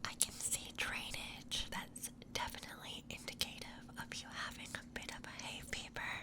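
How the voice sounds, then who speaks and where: A gentle, reassuring ASMR performer playing a nurse, speaking softly and breathily through a soothing medical exam roleplay. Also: normal speed, poor audio. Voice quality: soft, breathy whispering.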